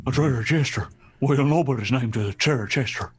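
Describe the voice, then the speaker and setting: A man's voice: medium pitch, fairly quick, slightly rough, close to an everyday voice. A man acting in an old-fashioned radio play as a harried, pompous adventurer and author, blustering and dismissive. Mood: brusque disdain. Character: harried, pompous male adventurer-author, disdainful of fans and stars.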